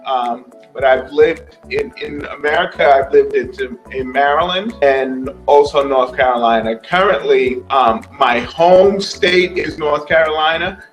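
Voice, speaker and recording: New york guy, bit higher voice, takes his time, music behind. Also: measured pace, few pauses, reverberant, rough recording. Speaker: interviewee. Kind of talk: casual self-introduction.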